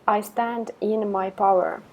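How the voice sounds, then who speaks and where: A woman leading a guided meditation with affirmations, speaking in a calm, gentle, measured way with long pauses. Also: medium pitch, slight background noise.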